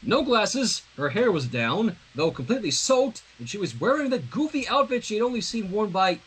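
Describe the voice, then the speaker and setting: A man's voice: sits high, very animated, moderate pace, a bit bright, poor audio. A man reading fan fiction aloud on a livestream, narrating expressively with casual asides.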